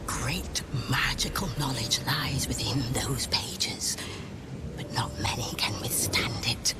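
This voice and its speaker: An actress voicing a haughty bookseller in a video game, speaking in a measured, condescending and dryly superior way. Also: female voice, a bass voice, in a whisper, loud music behind the voice. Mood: grave. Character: haughty bookseller guarding a vault of rare tomes. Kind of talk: video game character acting.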